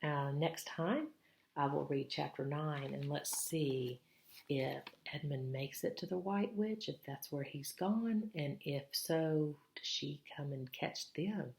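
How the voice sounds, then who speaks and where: A woman's voice: sits low, slow, creaky, a little mellow. A woman reading a storybook aloud for schoolchildren and chatting casually to them as the reader. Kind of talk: storybook narration and casual commentary to children.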